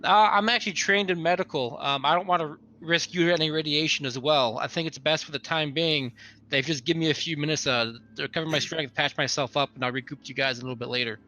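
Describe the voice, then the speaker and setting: Bright, higher-pitched male roleplayer. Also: quick pace, soft background music. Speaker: tabletop roleplaying game player. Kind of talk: casual in-character improvised game talk.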